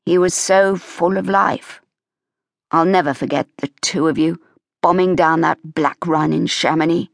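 A woman's voice: on the low side, steady tone, a little slow, vocal fry, no echo, clean studio sound. A woman voicing a kind young army officer. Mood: gentle.

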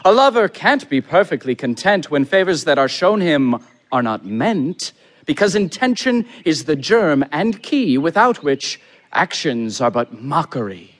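Young gentleman suitor for verse comedy: ardent, flowery, fairly high voice with sweeping intonation. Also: very animated, unhurried. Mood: ardent, pleading. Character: eager young gentleman, a smooth-talking romantic. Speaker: actor. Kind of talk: theatrical verse comedy performance.

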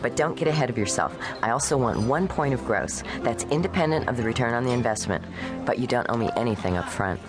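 Sarcastic scheming actress-writer, dry and cocky, music behind. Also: female voice, a bass voice, expressive, fast talker. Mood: shrewd. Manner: dry, sardonic, confident. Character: sharp-tongued, scheming young actress-writer. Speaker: actress and screenwriter. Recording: radio play with music behind.

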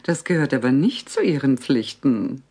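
A woman's voice: rumbling low, very animated, moderate pace, dark tone, slightly rough, exaggerated voice. A woman playing a coy, teasing, slightly jealous secretary with mock-dutiful banter in a German audio drama. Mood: flirtatious banter.

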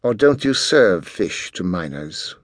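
A man's voice: low-pitched, very animated, slow pace, dry recording, studio quality, voice pushed down, heavily acted. A man voicing a haughty, precocious teenage boy genius with a pompous, precise, formal way of speaking. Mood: haughty, cutting.

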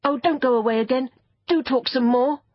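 Woman voicing eager, high-pitched, husky storybook children. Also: huge pitch swings, a little slow, no room sound, highs cut off, heavily acted. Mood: hasty, eager. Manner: earnest, curious, placating. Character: eager, polite young children, girls and a boy. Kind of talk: children's storybook character voices.

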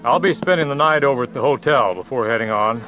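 A man acting as a lone cowboy in a western radio play, with a mid-pitched voice. He is calm and laconic, musing in short plain phrases, with clear music behind him. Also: quick pace, lightly acted. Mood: polite, matter-of-fact. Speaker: actor in an audiobook dramatization. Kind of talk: western audio drama acting.

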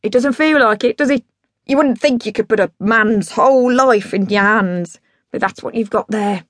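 A woman's voice: on the high side, moderate pace, no echo, natural voice. A woman voicing a drunk, boastful, sweary middle-aged father with big musical dreams, in a bombastic, declamatory way, in an audiobook story. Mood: boastful, pleading.

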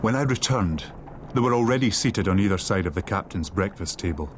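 An actor playing a man who recounts his boyhood, narrating a dramatised audiobook in a sombre, measured way. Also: low-pitched, expressive, a little hiss or hum. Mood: measured, tense.

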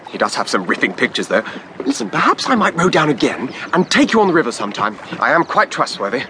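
An actor playing an eager, awkward upper-class English gentleman in his twenties, nervous and babbling, in a radio drama. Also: on the high side, wildly swooping pitch, quick pace, noisy background. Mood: nervous, gushing. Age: 20s.

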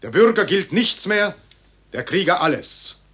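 An actor playing a solemn prologue speaker, reciting classical German verse in a stately, declamatory manner. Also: male voice, high voice, big pitch swings, unhurried, a bit bright, slightly rough, full character voice. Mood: solemn, grave.

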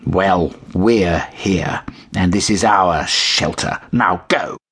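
Man playing big growling, husky bully cat. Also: sits low, slow pace, fairly bright tone, exaggerated voice. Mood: menacing. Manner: menacing, taunting. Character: large, scary, mocking bully cats.

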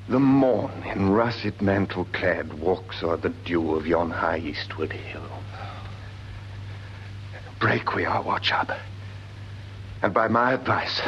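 A solemn British watchman speaks poetic lines in classical radio drama style. The voice is very deep and measured, on a dull old recording with faint hum. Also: fairly bright tone. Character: grave, thoughtful male night-watch companion of a prince. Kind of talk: classical Shakespearean radio acting.

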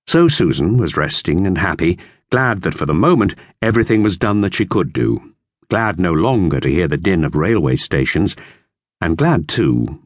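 A man narrating a classic children's novel in measured, expressive storytelling. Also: sits low, no echo. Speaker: audiobook narrator.

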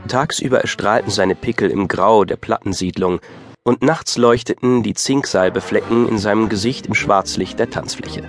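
A man's voice: on the low side, normal speed. A man narrating an audio drama from a script in a dryly wry storytelling manner. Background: music.

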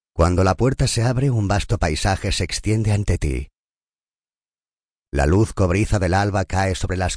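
A man narrating a scripted guided meditation in a calm, soothing and unhurried manner. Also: deep voice, no echo, clean studio sound.

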